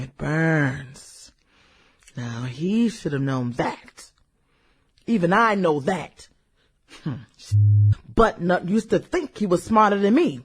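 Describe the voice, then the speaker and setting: Lady doing a bitter brooding woman in a radio drama, slow n husky, hums between thoughts, voice swings around. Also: sits low, exaggerated voice. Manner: musing, hesitant, chuckles and hums between thoughts. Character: brooding, vengeful woman reminiscing over dead high school classmates. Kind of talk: radio drama acting, conversational monologue.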